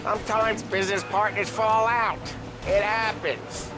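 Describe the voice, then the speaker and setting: An actor playing a menacing, quick-tempered American gangster in a video game, terse and bossy in his orders. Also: high-pitched, fairly even intonation, over music. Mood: irritated. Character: commanding middle-ranking mobster, menacing, curt and quick-tempered. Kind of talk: cinematic game voice acting.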